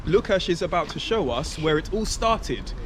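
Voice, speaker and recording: A man's voice: fairly high pitch, animated, normal speed. A man hosting a travel vlog, chatting casually with locals outdoors in a town. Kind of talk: casual street interview. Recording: outdoor street noise.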